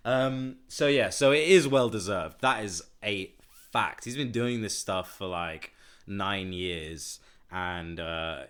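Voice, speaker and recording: A man's voice: low voice, slow pace, fairly bright tone, clean tone. A man co-hosting a podcast, talking in casual, conversational chat. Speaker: podcast co-host.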